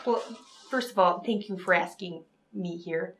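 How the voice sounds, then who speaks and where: A woman who speaks in an earnest, halting, apologetic way, as a guest novelist on a comedy show. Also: fairly low pitch. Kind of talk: dramatic reading of romance prose, then casual interview talk.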